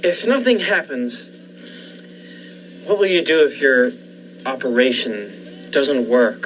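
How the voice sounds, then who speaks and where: A man's voice: medium pitch, lively intonation, slow pace. A man playing an uneasy, loyal surgeon friend, hesitant and full of doubt, in 1940s radio thriller style. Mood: worried, loyal. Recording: dull lo-fi audio, faint noise.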